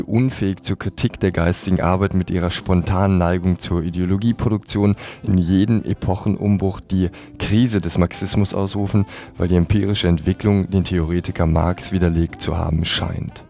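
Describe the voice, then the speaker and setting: Low, dark-voiced male radio presenter. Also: fairly even intonation, normal speed, gravelly, hardly any pauses, faint music behind. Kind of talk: scripted radio report.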